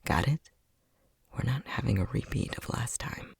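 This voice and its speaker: Man playing a husky, breathy unhinged scientist. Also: mid-range voice, expressive, normal speed, exaggerated voice. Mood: weary, bossy. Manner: sardonic, teasing, condescending, with frequent sighs. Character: unhinged scientist, bossy, sardonic, theatrically playful captor. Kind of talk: ASMR roleplay acting.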